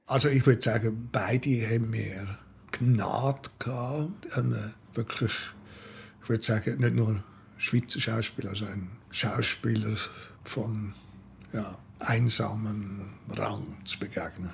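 An older man with a Swiss German accent, talking haltingly as he searches for words in an interview. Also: on the low side, steady tone, gravelly, a little hiss or hum. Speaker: writer being interviewed.

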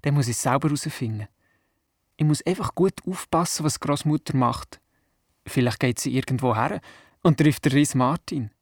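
A man's voice: mid-range voice, no room sound, clean studio sound. An actor playing a curious, eager young grandson who tells his story earnestly in a children's radio play, with a Swiss German accent. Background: music.

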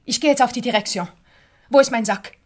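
Woman acting an aggrieved, impulsive wife, higher voice, Swiss accent, effusive, radio play comedy. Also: quick pace, lightly acted. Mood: resolute, agitated.

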